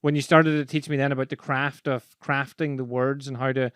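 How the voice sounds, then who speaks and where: A man's voice: medium pitch, brisk, clear, resonant tone, dry recording, studio quality. An Irish man in casual conversation, telling stories animatedly and laughing as he talks. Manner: animated storyteller, laughs while talking. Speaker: podcast host and speaking coach.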